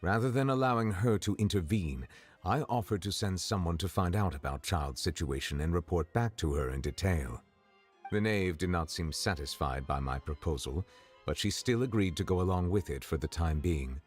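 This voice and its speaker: Very deep, formal male official. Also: animated, faint music behind. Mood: grave, formal. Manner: measured, formal, precise diction. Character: grave, formal male official of high rank, reserved and courteous. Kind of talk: video game voice acting.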